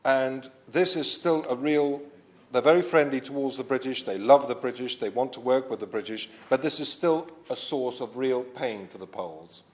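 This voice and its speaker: A man born in Poland delivers a formal parliamentary speech in a debating chamber. His voice sits at a middling pitch, and his delivery is measured and deliberate. He adds the odd self-deprecating aside. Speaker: member of parliament, debate sponsor.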